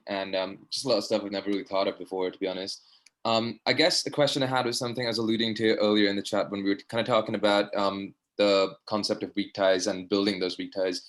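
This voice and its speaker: A young man, a medical student, earnestly asking a long, rambling question over a video call, full of fillers. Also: lowish voice. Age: young adult. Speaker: audience participant, medical student. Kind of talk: online discussion question.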